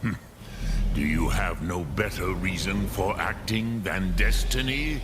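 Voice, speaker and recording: A man voicing an ancient, wise male dragon in a fantasy video game, speaking patiently and deliberately with a weighty, gravelly voice and philosophical manner. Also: deep voice, heavy background noise. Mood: pensive, probing. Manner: slow, deliberate, ponderous, pausing between phrases.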